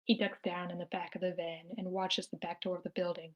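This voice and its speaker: A woman's voice: fairly low pitch, little pitch movement, fairly quick, muffled recording. A woman narrating screenplay action lines aloud at an amateur table read, in a plain manner with occasional stumbles. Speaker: stage-direction narrator.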